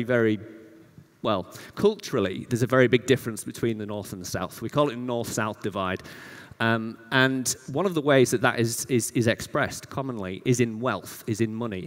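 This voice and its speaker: A man giving an informal, explanatory conference presentation with emphatic repetition. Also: medium pitch. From Yorkshire, northern England. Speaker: conference speaker, data scientist. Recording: reverberant hall sound.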